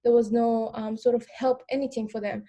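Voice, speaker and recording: A young Afghan woman with English as a second language, giving a personal account in an informal panel talk, halting and repeating words as she goes. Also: fairly high pitch, level tone, dark tone, dull audio. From Afghan, lived in Iran. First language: not English. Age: young adult. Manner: halting, repeats words, frequent "like" and "you know". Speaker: panel speaker, former refugee.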